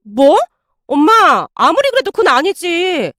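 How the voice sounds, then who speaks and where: A woman playing a high, snappy, selfish young wife. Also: very animated, fairly quick, fairly bright tone, no echo, clean studio sound. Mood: defiant protest. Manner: snappy, whiny protest. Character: selfish, irritable young wife and defiant daughter.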